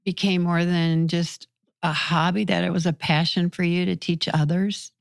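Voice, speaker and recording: Gravelly, lowish American woman podcast host. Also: flat delivery, normal speed, no echo, studio quality. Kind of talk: casual interview chat.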